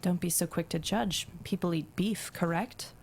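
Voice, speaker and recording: A woman playing a calm, eerie non-human being in human form, with formal, precise diction, in a dramatic script table read. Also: on the low side, moderate pace, a little hiss or hum, natural voice. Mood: cool, unsettling.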